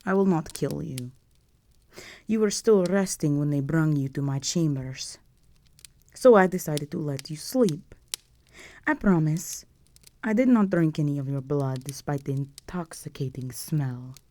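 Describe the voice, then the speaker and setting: Woman playing a slow, low-voiced imperious vampire countess. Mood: soft, possessive. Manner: slow, poised, commanding, softly menacing. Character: imperious, seductive vampire countess, ancient ruler. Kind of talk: scripted audio roleplay acting.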